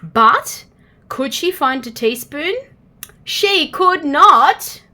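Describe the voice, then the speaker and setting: A woman with an Australian accent reading a children's storybook aloud in an expressive way, addressing young listeners directly. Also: high-pitched, extremely slow. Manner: expressive, asks the listener questions.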